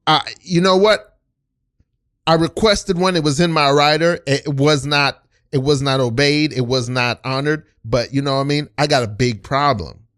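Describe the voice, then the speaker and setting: A man's voice: fairly high pitch, steady tone, normal speed, fairly bright tone, natural voice. A man improvising a comedy sketch as an aggrieved, self-important rapper, stammering and repeating himself pompously. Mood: indignant. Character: aggrieved, self-important male rapper, easily offended, stubborn. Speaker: guest comedian.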